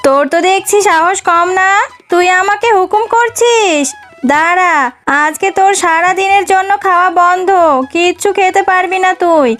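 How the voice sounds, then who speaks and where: A woman's voice: extremely high pitch, moderate pace, soft background music. A woman voicing a cruel, domineering stepmother fox in a children's cartoon, with a sly, nagging manner. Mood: harsh, bossy. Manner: sharp nagging, taunting rhetorical questions.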